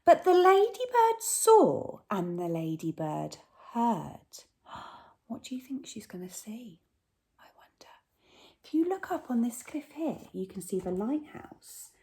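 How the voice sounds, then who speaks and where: A woman's voice: high voice, slow pace. A woman teacher reading a picture book aloud to young children, gasping and asking them questions as she goes. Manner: animated, gasps and asks the children questions. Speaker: primary school teacher.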